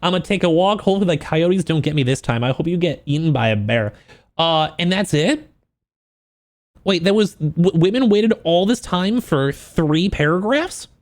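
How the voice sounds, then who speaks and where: A man's voice: a little high, expressive, normal speed. A man livestreaming a book reaction, switching between reading aloud and informal, joking, profane asides to his chat in a self-deprecating way.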